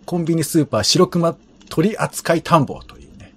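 A man co-hosting a relaxed talk show, recapping things in a hesitant, halting way with drawn-out pauses. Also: a little high, a little hiss or hum. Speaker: podcast co-host. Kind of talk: casual radio chat, recapping past episodes.

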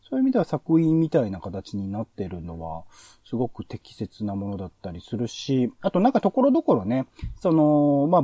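Fast-talking man, slightly distant mic. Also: on the high side, mellow, no echo. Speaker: podcast co-host. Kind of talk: conversational radio review commentary.

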